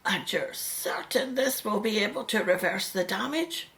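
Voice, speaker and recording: A woman's voice: mid-range voice, normal speed, fairly bright tone, close to an everyday voice. A woman acting in a radio drama as an anxious, devoted mother, speaking with tender, emotional care.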